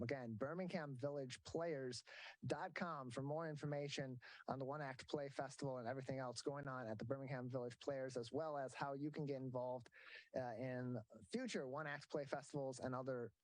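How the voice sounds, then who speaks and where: A man's voice: medium pitch, no room sound, low-quality recording. A man hosting a local TV interview who stumbles over fillers and repeats words as he restarts. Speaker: host.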